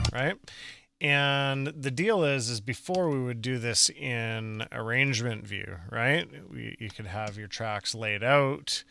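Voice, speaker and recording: A man demonstrating music software in a casual step-by-step tutorial, explaining as he goes and checking in with the viewer. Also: mid-range voice.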